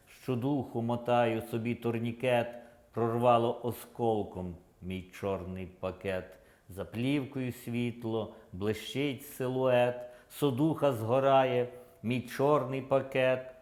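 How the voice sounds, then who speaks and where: A native Ukrainian man recites rhymed verse at a live poetry reading. His delivery follows the rhythm of the lines at a middling pitch, and the room adds a clear echo around his voice. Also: a little mellow. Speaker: poet reading translated verse aloud. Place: public poetry reading at a museum.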